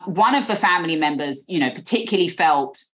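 A woman's voice: deep voice, animated, brisk, fairly bright tone, reverberant, poor audio. A British woman in an interview, speaking earnestly and often repeating words as she thinks. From Britain.

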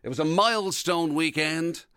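A man's voice: fairly high pitch, normal speed, sharp tone, clear, resonant tone, dry recording, clean studio sound. A middle-aged Irish man co-hosting a radio podcast, steering casual banter. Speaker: radio show co-host. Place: radio office studio.

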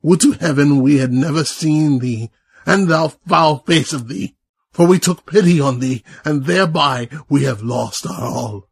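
An actor playing a grieving, stern old king with a rough voice, reproaching solemnly in archaic audio-drama language. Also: a little high, a little slow, dry recording, heavily acted. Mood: bitter, grieving.

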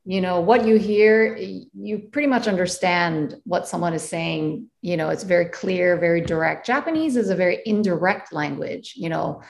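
A woman, a jazz singer, talking thoughtfully in a casual interview, pausing often to find her words. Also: lowish voice, gravelly. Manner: thoughtful, many fillers and restarts. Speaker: jazz vocalist guest.

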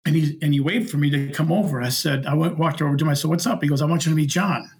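A middle-aged man telling anecdotes in a casual, unhurried, conversational way, often retelling dialogue as he goes. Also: fairly high pitch, a little mellow. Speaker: podcast guest, former concert security worker.

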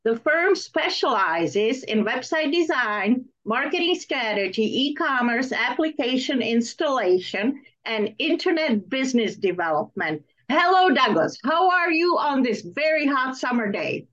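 A woman's voice: sits high, sing-song, measured pace, few pauses, a bit bright. A woman hosting an interview, presenting and asking questions in a prepared style. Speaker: interviewer, host. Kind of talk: interview questions, partly scripted introduction. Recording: dull, band-limited audio.

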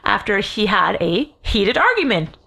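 Woman, podcast co-host, loose rambling storyteller, middle pitch. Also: very animated, measured pace, vocal fry, heavy background noise. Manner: rambling, jokey, swears and laughs. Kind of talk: casual storytelling chat.

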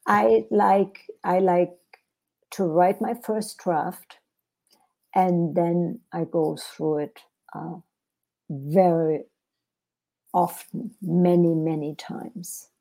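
A woman's voice: lowish voice, fairly even intonation, extremely slow, vocal fry, pauses often, dark tone. A woman from Germany with a German accent, an author talking reflectively in an interview, often searching for words. English is her second language. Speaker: author guest. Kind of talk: interview conversation.

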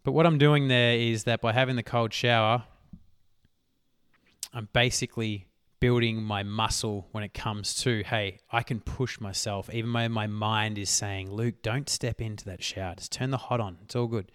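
Energetic man, hoarse podcast voice. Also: lowish voice, normal speed, dry recording, clean studio sound. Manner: energetic, emphatic, swears casually. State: losing his voice. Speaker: podcast host. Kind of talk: solo motivational podcast talk.

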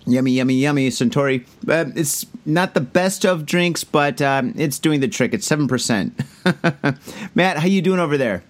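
Big-voiced guy hosting a chill drinking podcast, kinda high-pitched, loose and chatty. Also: animated, normal speed. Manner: loud, laid-back, tipsy banter with fillers. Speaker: podcast host. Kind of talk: casual drinking podcast chat.

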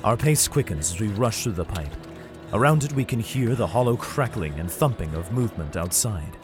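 A man's voice: fairly low pitch, expressive, normal speed, music in the background. A man narrating in the first person as a father, in an urgent, tense storytelling manner, in a fan-made audio drama. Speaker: narrator and lead character, a father.

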